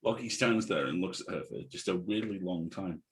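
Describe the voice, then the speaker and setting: A man's voice: sits low, brisk. A man reading stage directions as scripted narration at an audio drama table read.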